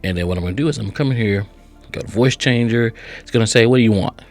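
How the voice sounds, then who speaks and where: A man with a fairly low voice hosts a casual step-by-step tutorial. He is chatty and instructional, often tagging sentences with quick check-ins. Music plays faintly behind him. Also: no echo. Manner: chatty, instructional, frequent check-in tags like right and okay. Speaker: host of software tutorial channel.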